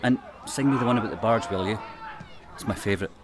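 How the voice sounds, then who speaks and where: An actor playing a gruff but soft-hearted Paris policeman in an audio drama, firm yet indulgent. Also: lowish voice, quick pace, crowd noise, close to an everyday voice. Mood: fond, gruff. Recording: busy street noise behind voice.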